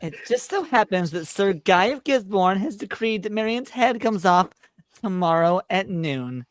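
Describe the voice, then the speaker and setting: A woman's voice: medium pitch, lively intonation, unhurried, no room sound, close to an everyday voice. A woman voicing a smug, taunting male trickster who poses as a sheriff, with theatrical mock courtesy, in a live script read. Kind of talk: live amateur script reading.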